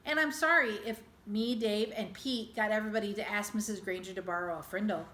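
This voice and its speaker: A woman's voice: medium pitch, brisk, sharp tone, slight background noise, close to an everyday voice. A woman reader voicing an earnest, stubborn schoolboy in a storybook, plain-spoken and insistent. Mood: defensive. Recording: echoey room.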